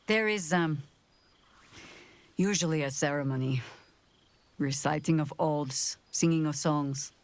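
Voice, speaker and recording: Video game veteran leader: older, weary, measured delivery with dry wit. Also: female voice, sits low, no echo. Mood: wry, warm. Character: weary, wry, battle-worn veteran woman, leader of freedom fighters. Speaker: leader of a secret order. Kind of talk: video game character acting.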